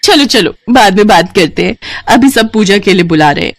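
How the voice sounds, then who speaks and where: A woman's voice: mid-range voice, animated, normal speed, no room sound. A woman voicing a friendly, bustling village housewife in a storybook tale, chatty and eagerly calling out. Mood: eager, hurried. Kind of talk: storybook dialogue.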